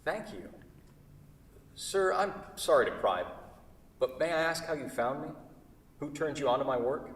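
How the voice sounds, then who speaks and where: A man's voice: on the high side, expressive, unhurried, long pauses. Man playing a guarded woodworker, very echoey hall. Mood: polite, flustered. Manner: hesitant, guarded, polite. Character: guarded, hesitant solitary male woodworker artist. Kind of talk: staged play reading. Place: theatre stage. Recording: very echoey hall, distant microphone.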